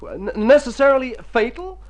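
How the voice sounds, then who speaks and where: A man's voice: extremely high pitch, very animated, normal speed. A man playing a rich, personable young hypochondriac in a radio drama, exclaiming and stammering theatrically. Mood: anxious, flippant. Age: young adult. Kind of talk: mid-century radio drama acting. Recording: old radio recording with music.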